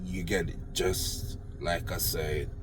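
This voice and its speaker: Slow sarcastic Jamaican man ranting. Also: sits low, little pitch movement, noisy background. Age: older. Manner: sarcastic, mocking praise; blunt, swears freely. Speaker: political commentator. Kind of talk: unedited political rant. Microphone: phone.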